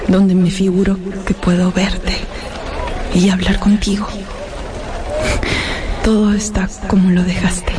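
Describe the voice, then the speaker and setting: A woman's voice: mid-range voice, level tone, slow pace, long pauses, background noise, lightly acted. An actress playing a sickly, lovelorn young woman reading her tender, melancholy letters in a radio drama. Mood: wistful. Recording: music behind the voice.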